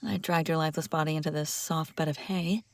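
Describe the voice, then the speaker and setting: A woman's voice: deep voice, steady tone, a little fast, no echo. A woman playing a brusque, sardonic but secretly caring female bounty hunter in a scripted fantasy audio roleplay, speaking straight to the listener. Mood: dry, caring. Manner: sarcastic, teasing, often dropping to hushed urgency.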